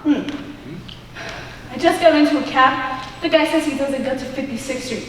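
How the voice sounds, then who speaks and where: Teen actress as a flustered, high-pitched American woman. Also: measured pace, fairly bright tone. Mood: exasperated. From United States. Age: teens. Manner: agitated, incredulous. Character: flustered, exasperated woman having a baffling day. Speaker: student stage actor. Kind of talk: one-act stage comedy acting. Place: school auditorium stage. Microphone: distant camera microphone. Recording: echoey auditorium recorded from far off, hiss.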